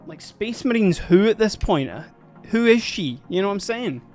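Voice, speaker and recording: A live-streaming miniature painter chatting casually and giggling a lot while painting, a little hoarse from laughing. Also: medium pitch, big pitch swings, normal speed, darkish tone, soft background music. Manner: chatty, giggly, rambling, addresses chat.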